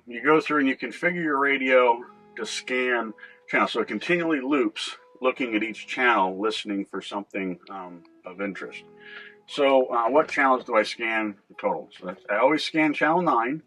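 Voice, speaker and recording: Older guy doing a casual boat vlog, bright clear-cut voice, mid pitch, kinda halting, bit of guitar music behind. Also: low-quality recording. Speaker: boating video host. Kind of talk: casual explanatory vlog talk. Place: small boat cabin.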